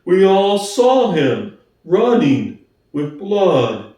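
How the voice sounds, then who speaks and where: A man voicing an earnest, slow-witted, loyal big workhorse in a storybook reading, with a laboured, stolid delivery. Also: high-pitched, very animated, dark tone, heavily acted. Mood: insistent. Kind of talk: dramatic audiobook reading. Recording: echoey room, distant from mic.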